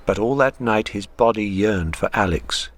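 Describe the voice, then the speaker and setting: A man's voice: lowish voice, moderate pace, no room sound, lightly acted. A man acting as the grave narrator of a literary radio drama. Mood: brooding, measured. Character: grave literary narrator telling a troubled man's story.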